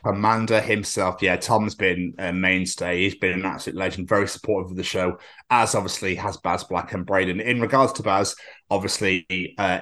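A male podcast co-host chats casually and promotes what is coming up. His voice sits low. He keeps a relaxed, friendly patter. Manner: chatty, plugs upcoming content. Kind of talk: casual chat.

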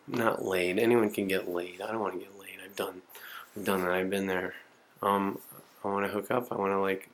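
Man in his forties, rambling and profane, low voice, camcorder sound. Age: 40s. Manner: rambling, profane, self-mocking. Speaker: video diarist. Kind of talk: casual self-recorded monologue. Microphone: home camcorder.

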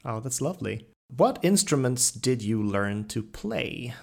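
A man's voice: mid-range voice, big pitch swings, a little slow, creaky, a little mellow. A man hosting a podcast, asking questions in a casual, conversational interview style.

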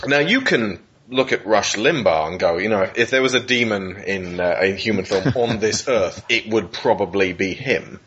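A man's voice: lowish voice, lively intonation, moderate pace, few pauses, fairly bright tone, husky. A man giving casual, conversational commentary as a podcast host. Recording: dull lo-fi audio.

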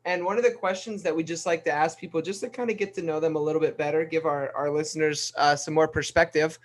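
A man's voice: fairly high pitch, steady tone, quick pace. A man co-hosting a podcast, chatting and asking questions in a casual interview style. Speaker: podcast co-host.

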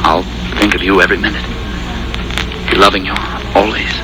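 An actor playing an earnest young soldier in love, in a sincere, romantic old-time radio drama style. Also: male voice, low voice, level tone, fairly quick, natural voice. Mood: earnest, urgent. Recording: noisy old radio recording.

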